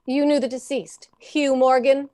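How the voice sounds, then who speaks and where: A woman's voice: sits high, level tone, a little slow, dark tone. An actress playing a stern, composed woman coroner who questions formally in an old-time radio drama. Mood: official, brisk.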